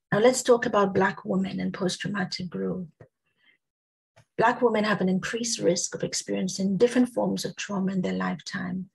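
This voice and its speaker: A woman talks on a video call with a husky, gravelly voice that is starting to give out. She clears her throat now and then and moves through her points in a measured way, with frequent ums. Her pitch sits in the middle range for a woman. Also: poor audio. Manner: measured, reads out lists with many ums. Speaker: guest speaker. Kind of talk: prepared talk at an online summit.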